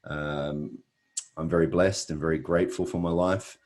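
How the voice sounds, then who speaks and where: Aussie guy with a really deep voice hosting a webinar, earnest, lots of uh's. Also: steady tone, normal speed, darkish tone. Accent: Australian. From Australia. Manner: earnest, repetitive, frequent "uh" fillers. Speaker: webinar host. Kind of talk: motivational presentation.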